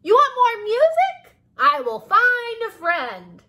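Woman putting on a shrill, loud, oblivious storybook cricket. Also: huge pitch swings, heavily acted. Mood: loud, puzzled. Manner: shrill, exclaiming, drawn-out words. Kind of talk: children's storybook read-aloud.

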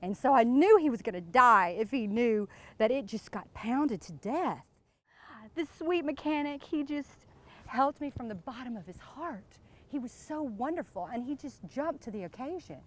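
A woman acting as a coy, boastful glamour wife with an American accent and a fairly high voice. She gushes suggestively and giggly, as if chatting in a comic mock interview outdoors. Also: animated, moderate pace. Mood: gushing, suggestive. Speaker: interviewee in character. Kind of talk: improvised in-character mock interview. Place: outdoors beside a lake.

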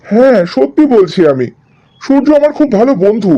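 A man's voice: very high voice, big pitch swings, fairly quick, dark tone, highs cut off, full character voice. A man playing an easygoing, affectionate young husband in a cartoon drama, coaxing and explaining. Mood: persuasive. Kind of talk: animated cartoon drama dialogue.

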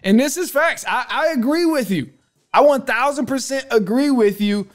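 A man's voice: extremely high pitch, animated, moderate pace. A young man hosting a sports podcast from a home studio, reacting emphatically with lots of restarts and repeated phrases. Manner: emphatic, repetitive, stammering restarts. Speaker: podcast host. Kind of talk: casual sports commentary. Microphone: studio mic with headphones.

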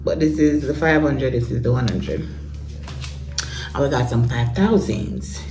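A young Jamaican woman vlogging at home, talking casually to her phone camera in a chatty, relaxed way and slipping into patois now and then. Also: extremely low pitch, slow pace, a little hiss or hum. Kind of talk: casual vlog chat to camera. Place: small home bathroom and bedroom, indoors. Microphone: phone camera at arm's length.

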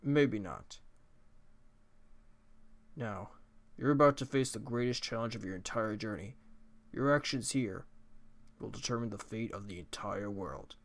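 A man voicing a laid-back skeleton from a video game, a joker and judge who speaks in casual, deliberate phrases. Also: on the low side. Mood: solemn, grave. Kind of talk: character voice acting of video game dialogue.

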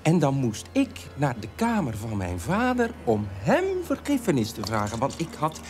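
The ironic grown child of a punishing father recounts memories in a radio drama. The delivery halts at times and swings widely in pitch, over clearly audible music. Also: wildly swooping pitch, normal speed. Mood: hurt, ironic. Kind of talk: animated radio drama storytelling. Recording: music behind the voice.

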